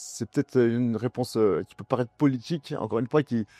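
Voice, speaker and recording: A young French man answering interview questions, halting and restarting often with fillers. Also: mid-range voice, dark tone, dry recording, soft background music. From France. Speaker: guest.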